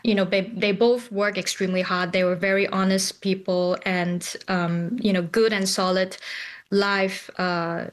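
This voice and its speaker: A woman from Hong Kong speaking as a business founder in an interview. Her pitch is middling, and she answers conversationally, pausing often in the middle of phrases. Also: flat delivery. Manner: hesitant, frequent pauses mid-phrase. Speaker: company founder, interview guest.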